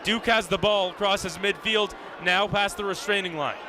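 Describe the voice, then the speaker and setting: Young man, high voice, live radio sports play-by-play, clipped vivid calls, clearly noisy venue behind him. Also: steady tone, measured pace, a crowd in the background. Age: young adult. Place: live at a lacrosse game. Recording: noisy live stadium broadcast. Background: venue ambience and noise.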